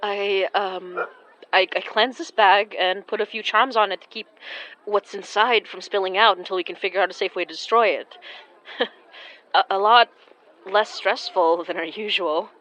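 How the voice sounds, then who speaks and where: An actress playing a gentle, anxious young woman who senses haunted objects, speaking haltingly in audio fiction. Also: mid-range voice, fairly even intonation, lightly acted, poor audio. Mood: shaky, subdued. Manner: hesitant, halting, stammering. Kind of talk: audio fiction acting with first-person narration.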